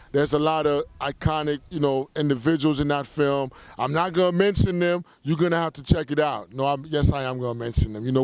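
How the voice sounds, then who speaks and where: Middle-aged man, clear resonant voice, casual promotional talk, emphatic check-ins, dry close sound. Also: a little high, no echo. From Haiti, raised in Brooklyn, New York. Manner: conversational, emphatic, repeats check-in tags. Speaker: documentary filmmaker.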